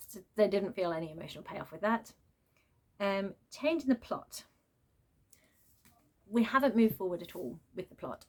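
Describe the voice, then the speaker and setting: Woman ranting about books, lowish voice, fed up and sarcastic, chatty and off the cuff. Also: breathy edge. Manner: exasperated, sarcastic. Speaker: book reviewer. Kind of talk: casual, unscripted book rant.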